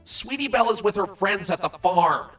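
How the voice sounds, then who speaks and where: Earnest young male cartoon changeling, played by a woman: raspy, halting, slightly distant recording. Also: medium pitch, animated, a bit bright, soft background music. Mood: protesting. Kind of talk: cartoon fan fiction audio drama.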